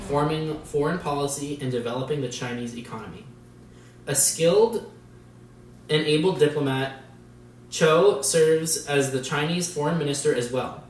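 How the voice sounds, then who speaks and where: Young male, amateur newsreader, recorded away from the mic with music underneath. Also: on the high side. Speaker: student news presenter. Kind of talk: amateur news report presentation. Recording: distant mic, music behind.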